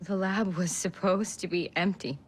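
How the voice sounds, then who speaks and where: A rebel admitting stuff, lowish voice, defensive. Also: female voice, fairly even intonation, normal speed, breathy edge. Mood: confessing. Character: regretful woman rebel confessing.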